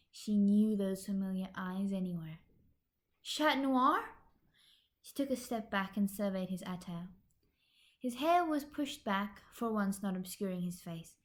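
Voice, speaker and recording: A woman's voice: medium pitch, a little mellow. A woman reading scripted story narration as an amateur fan fiction narrator.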